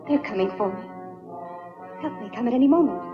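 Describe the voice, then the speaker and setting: Lady playing a young princess, highish earnest pleading voice, old dubbed movie, brassy music behind. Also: expressive, a little fast, narrow, tinny audio, lightly acted. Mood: earnest, urgent. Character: earnest young princess, devoted daughter of captive king. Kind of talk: dubbed historical adventure film acting.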